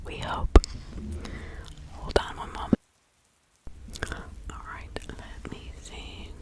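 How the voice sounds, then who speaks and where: A woman playing a reclusive, gossipy village witch of the 1600s in a confiding, rambling ASMR roleplay, all in a breathy whisper. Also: takes it slowly, lightly acted. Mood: musing. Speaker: ASMR performer.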